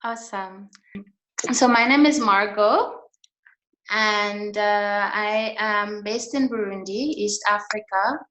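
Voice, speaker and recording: A middle-aged woman from Guyana, a fashion designer and interview guest, talking slowly and thoughtfully with many pauses and ums. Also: medium pitch, fairly bright tone. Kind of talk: online video interview. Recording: echoey room over video call.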